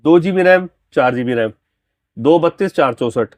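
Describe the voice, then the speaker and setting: A man's voice: a little high, animated, normal speed, no room sound. A man explaining products conversationally as a dealer and installer. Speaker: car audio dealer and installer.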